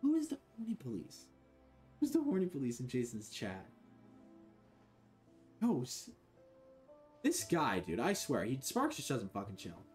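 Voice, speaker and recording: A young man from Florida streaming a video game from his bedroom, joking, swearing and reacting loudly. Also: medium pitch, wildly swooping pitch, measured pace, pauses often, faint music behind. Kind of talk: casual game-stream commentary. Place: small bedroom indoors. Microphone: desk mic, wearing a headset.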